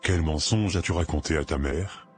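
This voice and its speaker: A man playing a cruel, domineering stepfather in an animated drama, scornful and menacing. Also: a bass voice, normal speed. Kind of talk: dramatic dialogue in an animated short. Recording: loud music behind.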